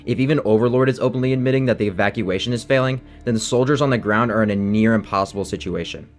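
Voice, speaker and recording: Male narrator, brisk delivery, scripted game analysis, soft music underneath. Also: fairly low pitch. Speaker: video essay narrator.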